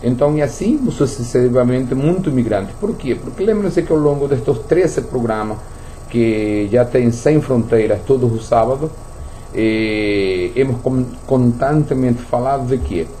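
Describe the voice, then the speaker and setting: A radio talk host, a man whose Portuguese carries Spanish slips from growing up in Venezuela. His voice is deep-toned and very mellow, with a faint hum behind it. He talks in loose, repetitive runs, restarting phrases as he thinks aloud. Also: unhurried, poor audio. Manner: repeats words, thinks aloud with many restarts.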